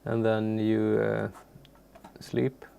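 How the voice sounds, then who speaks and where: A man from Sweden with Swedish as his first language talks through a music software tutorial. His voice is lowish and heavily creaky, and he goes slowly, searching for words in a modest, informal way. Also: completely flat delivery. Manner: halting, searching for words, unassuming. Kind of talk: informal screen tutorial, unscripted. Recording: faint background noise, keyboard clicks.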